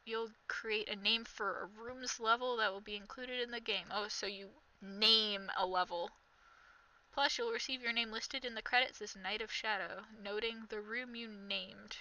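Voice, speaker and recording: A woman's voice: mid-range voice, fairly even intonation, normal speed, bright, forceful tone, poor audio. A young woman doing sarcastic reaction commentary, reading text aloud and mocking it with exasperation, in a somewhat nasal voice. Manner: mocking, exasperated, reads aloud then jabs. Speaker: commentary vlogger.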